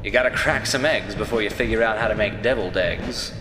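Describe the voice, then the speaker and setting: A man's voice: fairly low pitch, a little fast, lightly acted. A man acting in a radio play as a cocky, needling captive who taunts in wordy, theatrical sarcasm. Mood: smug. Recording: long reverb, music behind. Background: music.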